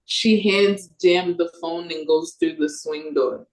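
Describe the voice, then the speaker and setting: Low-voiced woman narrating in an echoey room. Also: steady tone, slow, darkish tone. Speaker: narrator reading stage directions. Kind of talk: scripted narration. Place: echoey room, over a video call. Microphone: video call. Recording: roomy, echoing video-call audio.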